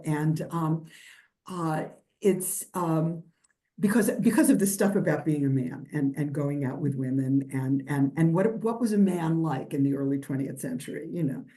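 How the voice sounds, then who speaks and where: Older female historian, New York-raised, low mellow voice, rambling Q&A answers. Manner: rambling, many restarts and fillers, laughs lightly. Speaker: guest lecturer, historian. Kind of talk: conversational lecture Q&A.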